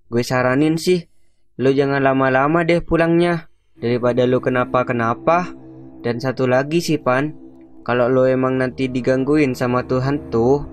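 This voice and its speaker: A man's voice: medium pitch, fairly even intonation, moderate pace, faint music behind, lightly acted. A man voicing a young college student in a cartoon, telling rumors in casual, slangy Jakarta-accented Indonesian. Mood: ominous gossip. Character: young male college student spreading campus ghost rumors. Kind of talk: animated cartoon dialogue.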